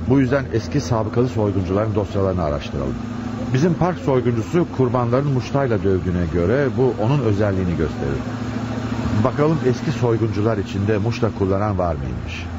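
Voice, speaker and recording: A male actor playing a resolute, compassionate chief inspector in a radio play, speaking with measured authority. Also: fairly low pitch, expressive, close to an everyday voice. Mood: grave, businesslike. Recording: muffled, noisy lo-fi radio drama recording.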